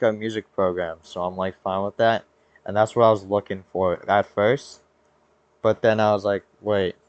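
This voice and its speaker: A man's voice: mid-range voice, clean tone, no room sound. Slangy young American guy chatting casually. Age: young adult. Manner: slangy, rambling, self-interrupting. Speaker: podcast host. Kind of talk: casual chat.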